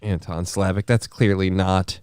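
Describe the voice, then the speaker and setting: A man's voice: sits low, level tone, measured pace, darkish tone, smooth, dry recording, clean studio sound. A man co-hosting a morning show with casual comedic banter.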